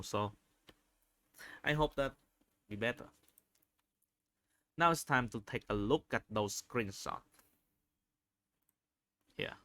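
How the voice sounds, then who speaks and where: Guy doing unscripted video commentary, crisp voice, really dry close sound, keeps pausing to find his words. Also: lowish voice, sharp tone, no echo. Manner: halting, searching for words, repeats phrases. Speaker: video host reviewing game screenshots.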